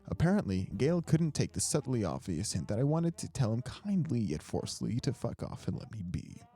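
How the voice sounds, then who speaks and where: A man's voice: mid-range voice, wildly swooping pitch, brisk, mellow, husky. A man narrating first-person fiction from a script in a wry, world-weary way, as an amateur audiobook reader. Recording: music behind the narration. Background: instrumental music.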